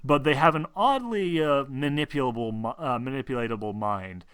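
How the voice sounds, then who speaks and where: A man running a tabletop game as game master, improvising narration in a halting, explanatory way. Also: medium pitch, animated, a bit bright, clean tone, no room sound.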